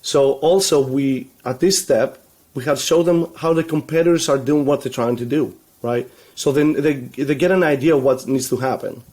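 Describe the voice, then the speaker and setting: A man originally from Greece talks on a video call from a room at home, his voice sitting at an ordinary middle pitch. He rambles in loose runs, restarting and filling gaps with "you know" and an easy laugh. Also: steady tone, moderate pace, a little mellow. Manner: rambling, many restarts and fillers, laughs easily. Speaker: guest, web agency founder. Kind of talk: casual video call interview. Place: home room full of toy shelves.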